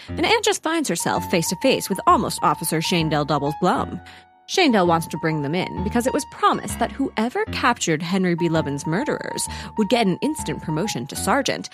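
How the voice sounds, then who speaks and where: A woman narrating a comic audio drama as a wry, chatty storyteller given to asides. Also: fairly low pitch.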